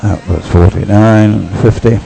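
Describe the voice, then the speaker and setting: A man commentates live on a boat race in a deep, soft-edged voice. He rambles and hesitates, working out his thoughts as he speaks. Faint music sits behind him. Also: steady tone, unhurried, gravelly, rough recording. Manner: rambling, hesitant, thinking aloud. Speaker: sports commentator.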